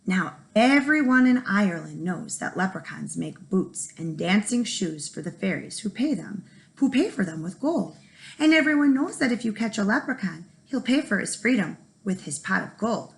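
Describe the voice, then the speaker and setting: Female teacher for a children's read-aloud, animated and emphatic, with a slightly gravelly creak. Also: medium pitch, normal speed, rough recording. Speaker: first grade teacher reading aloud.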